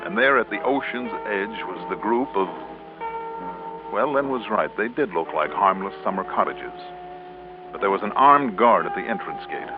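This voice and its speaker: A man's voice: on the low side, expressive, normal speed, close to an everyday voice. An actor playing a cool, tough American insurance investigator who narrates his case in clipped, wry classic radio drama style. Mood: tense, narrating. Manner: clipped, wry, hard-boiled. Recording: tinny band-limited vintage radio recording, music.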